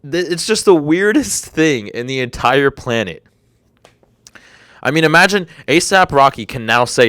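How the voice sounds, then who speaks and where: A man's voice: mid-range voice, fairly bright tone, no echo, clean studio sound. A young man in his twenties hosting a solo podcast, rambling and incredulous, with a slightly congested throat. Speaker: podcast host. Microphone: desk microphone.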